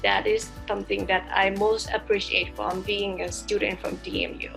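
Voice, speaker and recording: Thai-accented woman with a gravelly edge. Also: mid-range voice, flat delivery, normal speed, music in the background, low-quality recording. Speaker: doctoral student. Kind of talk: prepared testimonial.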